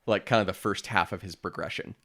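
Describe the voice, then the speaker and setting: Casting: male podcast co-host with a fairly low voice, explanatory and self-correcting, very dry sound. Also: brisk, a bit bright, dry recording. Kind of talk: casual analytical discussion.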